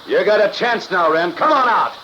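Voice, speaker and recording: An actor playing a tough, commanding police detective, barking short orders in an old radio drama. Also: male voice, high voice, animated, normal speed. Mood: tense, commanding. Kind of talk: 1940s radio drama acting. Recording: muffled, noisy vintage radio recording.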